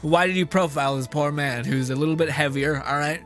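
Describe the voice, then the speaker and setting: Streamer: an animated, profane man, high and bright. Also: fairly quick, faint music behind. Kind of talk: casual gameplay commentary.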